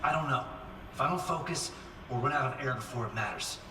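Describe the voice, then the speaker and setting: A man's voice: medium pitch, fairly quick, very noisy, close to an everyday voice. A man playing a resolute, matter-of-fact engineer in a video game, terse and stubborn under pressure. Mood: wary. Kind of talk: dramatic video game acting. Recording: roomy, distant voice with music underneath.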